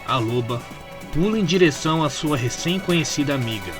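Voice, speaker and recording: Casting: Brazilian man, slightly high-pitched, informal story narrator for a fan comic, music underneath. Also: lively intonation, brisk. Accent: Brazilian Portuguese. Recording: music behind the voice. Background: background music.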